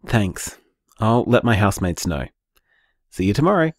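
An actor playing a loving, frank and wry young Australian boyfriend in an audio drama told through voicemails. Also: male voice, on the low side, very animated, moderate pace, slight background noise. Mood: relieved.

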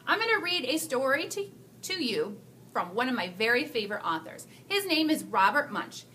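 High, bright, animated woman reading aloud. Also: a little hiss or hum. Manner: expressive, drawn-out emphasis for young listeners. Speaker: school staff storyteller reading to children. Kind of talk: animated children's read-aloud.

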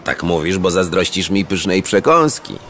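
A man's voice: low-pitched, normal speed, slight background noise. An actor voicing a cocky young male cartoon dog who teases and boasts. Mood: boastful. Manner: boastful, teasing, very lively. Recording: studio cartoon audio with music behind.

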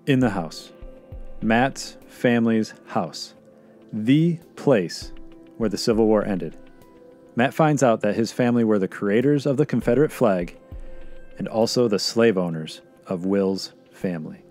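Calm, mellow male podcast narrator. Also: fairly low pitch, unhurried, soft background music. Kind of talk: scripted narration.

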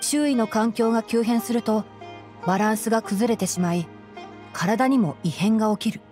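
Woman voicing a calm young male scholar. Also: on the high side, faint music behind, close to an everyday voice. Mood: explaining. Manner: measured, explanatory, soft-spoken. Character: gentle young male forest ranger scholar, calm and analytical. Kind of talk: Japanese anime game voice acting.

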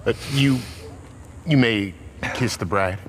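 A man's voice: fairly low pitch, background noise. A man playing an awkward, hesitant middle-aged minister in a video game cutscene. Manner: hesitant, halting. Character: awkward, hesitant small-church male minister. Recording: music under the dialogue.

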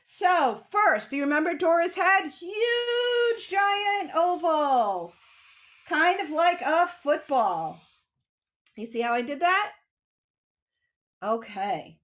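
A middle-aged woman leading a drawing lesson for children, explaining simply with animated emphasis on key words. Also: extremely high pitch, slow pace. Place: small home room with bookshelves. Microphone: computer mic on a video call.

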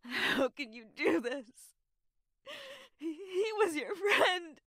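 A woman's voice: very high-pitched, animated, takes it slowly, a bit bright, full character voice. A woman playing a terrified but defiant young captive in an audio drama, distressed and tearful. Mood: tearful, appalled.

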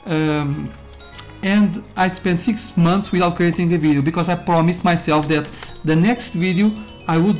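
Casting note: man, chatty game commentary, loose and rambling, with faint game music underneath. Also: on the high side. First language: Portuguese. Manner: rambling, self-interrupting, with frequent fillers and laughs. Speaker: YouTuber reviewing a game. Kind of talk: casual gameplay commentary.

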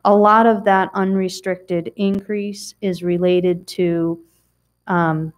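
A woman's voice: mid-range voice, flat delivery, slow. A middle-aged woman presenting audit figures to a town council in a chatty, explanatory way with frequent ums and restarts, recorded on a table mic in a council chamber.

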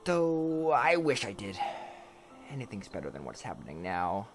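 An actor playing an earnest, guilt-ridden young male attendant to a princess in an animated drama, apologetic and pleading. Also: medium pitch, moderate pace, over music. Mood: guilty, awkward.

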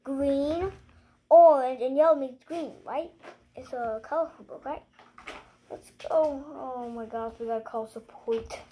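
High-pitched child reading aloud slowly. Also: dark tone, a little hiss or hum. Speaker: young host of a read-aloud channel. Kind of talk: reading a picture book aloud with playful asides. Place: living room at home, indoors.

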